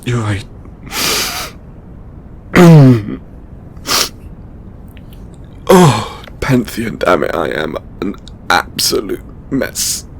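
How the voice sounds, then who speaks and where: A man playing a stressed, tender god confiding in a lover in an intimate audio roleplay, speaking haltingly with stammers and sighs. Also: big pitch swings, vocal fry, breathy edge, heavily acted. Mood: flustered, apologetic. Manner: hesitant, halting, stammering and sighing. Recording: background noise with low rumble.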